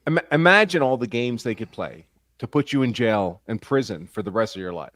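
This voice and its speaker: A man's voice: mid-range voice, animated, fairly quick, a bit bright, no room sound, studio quality. A man arguing emphatically in a live panel discussion as a legal commentator, posing rhetorical questions and repeating points for stress. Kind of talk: argumentative live discussion of a court case.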